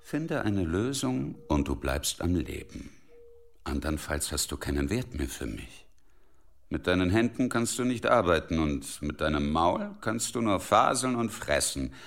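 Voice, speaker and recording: A man's voice: low voice, very animated, unhurried, creaky, heavily acted. A man in a German radio play acting a menacing leader of ruthless intruders, sarcastic and scornful. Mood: cold threat.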